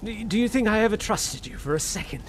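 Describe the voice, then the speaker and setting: An actor playing a desperate young lord in a radio suspense drama, drugged, breathless and stammering. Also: male voice, high-pitched, animated, fast talker, a little hiss or hum. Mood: accusing.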